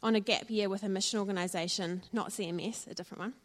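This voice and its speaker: A woman's voice: medium pitch, steady tone, fast talker. Nz woman giving her testimony at church, earnest and thoughtful, lots of ums. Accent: New Zealand. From New Zealand. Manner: earnest and reflective, with frequent fillers. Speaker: church speaker sharing her story. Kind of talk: personal testimony talk.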